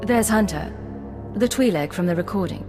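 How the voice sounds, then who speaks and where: Woman as a composed, expressive secret agent. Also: medium pitch, moderate pace, music in the background, close to an everyday voice. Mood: cool, direct. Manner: crisp, composed, probing. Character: cool, capable female secret agent. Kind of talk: video game drama acting.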